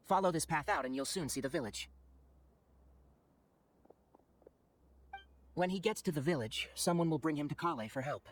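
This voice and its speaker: A man playing a knowledgeable young forest ranger in a video game, articulate, brisk and wry as he explains things. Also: mid-range voice, close to an everyday voice. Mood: analytical. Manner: articulate, explanatory, matter-of-fact. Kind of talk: video game character acting.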